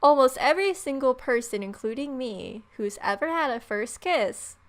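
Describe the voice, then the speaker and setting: A woman's voice: sits high, animated, moderate pace. A woman hosting a gaming video, giving flippant, sarcastic commentary and improvised replies to characters.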